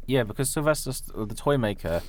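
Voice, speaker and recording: A man's voice: medium pitch, animated, a little fast, a little mellow. A man co-hosting a podcast, talking casually about films. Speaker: podcast co-host. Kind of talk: casual film chat.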